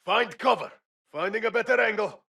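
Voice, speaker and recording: Game combat callouts: urgent soldier, high-pitched, strained gravelly bark, radio effect. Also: male voice, fairly quick, pushed and bright, full character voice. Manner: urgent, clipped. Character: tense soldier calling out combat warnings.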